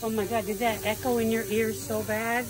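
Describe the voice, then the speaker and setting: A woman's voice: fairly high pitch, flat delivery, moderate pace. A woman in casual chat, recorded outdoors on a phone. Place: outdoors by a grill in a yard.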